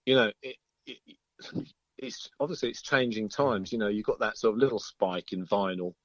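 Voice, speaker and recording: A man's voice: on the low side, normal speed, no echo. A middle-aged man, a musician being interviewed, chatting casually in a hesitant, thoughtful, polite way over a lo-fi line.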